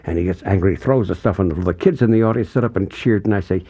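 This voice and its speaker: Older guy interviewing, lowish voice, lively storyteller, voice jumps up a lot. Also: quick pace, husky, highs cut off. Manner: animated storyteller, acts out quotes, pitch jumps up for emphasis. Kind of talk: conversational interview.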